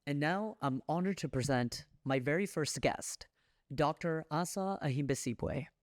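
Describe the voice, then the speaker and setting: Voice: mid-range voice, unhurried, dry recording, clean studio sound. A podcast host reading an earnest introduction and asking interview questions. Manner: earnest, reads from notes, restarts.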